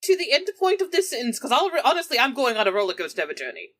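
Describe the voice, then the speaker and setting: A woman's voice: on the high side, wildly swooping pitch, racing. A woman playing a tabletop game, switching between casual improvised role-play and wry, chatty table banter.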